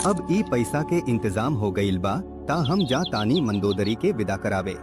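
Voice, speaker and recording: A man's voice: lowish voice, sing-song, unhurried, over loud music. A man playing a humble, devout and joyful village snack vendor in a cartoon, exuberant, with a Bhojpuri accent. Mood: joyful, excited. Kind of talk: animated moral story dialogue.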